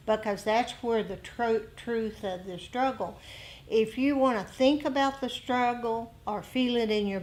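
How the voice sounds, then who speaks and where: A woman giving an unscripted dharma talk as a meditation teacher, with deliberate, emphatic phrasing and some repetition. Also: on the high side, a little mellow, poor audio. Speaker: meditation teacher leading group discussion. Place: group meditation meeting room. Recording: clear background room noise.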